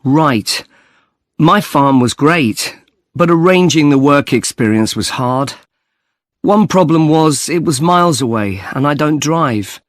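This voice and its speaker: A man's voice: fairly high pitch, animated, slow pace, dry recording, clean studio sound. A man playing an earnest young student in a scripted listening-test dialogue. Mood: earnest, chatty. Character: earnest young male student on farm placement.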